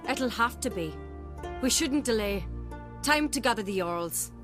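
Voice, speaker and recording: A woman's voice: fairly high pitch, expressive, measured pace, music in the background. An actress playing a bold, determined young clanswoman in a fantasy game, speaking directly and resolutely. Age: young adult. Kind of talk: dramatic fantasy game acting. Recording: dramatic score behind dialogue.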